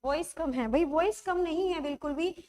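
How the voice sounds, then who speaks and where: A woman teacher with a high voice leads an online grammar class, her throat sore. She keeps coaxing the class with quick check-ins and slows right down to spell out examples. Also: dark tone. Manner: coaxing, checks understanding often; writes examples while speaking, slows to dictate them.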